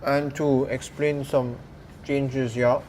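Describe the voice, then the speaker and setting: A young man playing a formal foreign-born doctor in a radio drama, with halting, stilted phrasing. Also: medium pitch, level tone, a little mellow, slight background noise, lightly acted. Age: teenage high school student.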